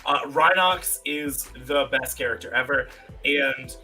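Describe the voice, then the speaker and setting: A man's voice: mid-range voice, measured pace, bright, forceful tone, soft background music, low-quality recording. A man chatting casually and jokingly as a fan, with emphatic, dramatic phrasing.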